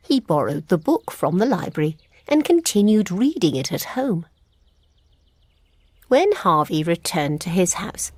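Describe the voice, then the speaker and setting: A woman narrating a scripted fairy tale for toddlers in an expressive, simple storybook manner. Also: lowish voice, a little hiss or hum. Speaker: children's storyteller.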